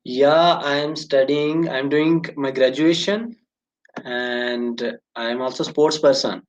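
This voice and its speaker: A man's voice: medium pitch, slow pace. A young man from India with an Indian English accent, answering in short, hesitant phrases full of fillers while practicing English on a video call. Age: young adult. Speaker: student. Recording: dull lo-fi call audio.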